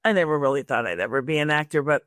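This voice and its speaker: A woman with a creaky voice, speaking conversationally as an interview host and career coach. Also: low-pitched, lively intonation, very fast, a bit bright, no room sound, clean studio sound.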